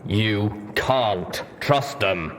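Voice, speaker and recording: A stern armored veteran stallion acted by a male reader speaks with suspicion and reproach in an animated storybook delivery. The recording has noise behind it. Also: lowish voice, very slow, long reverb tail, heavily acted. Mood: suspicious. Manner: stern, accusatory. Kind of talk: audiobook character voice.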